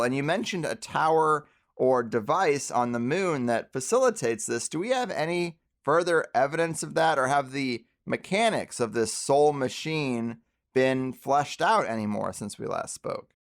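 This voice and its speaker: A man hosting a podcast interview, speaking conversationally and articulately in long, reflective turns. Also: mid-range voice. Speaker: podcast host.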